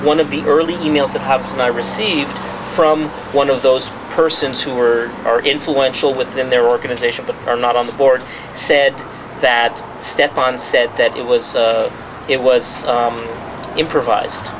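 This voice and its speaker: A man's voice: mid-range voice, steady tone, normal speed. Emphatic man holding a press conference. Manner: repeats words and restarts, emphatic. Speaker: journalist giving a press conference. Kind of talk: spontaneous press-conference talk. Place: restaurant. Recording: clear background noise.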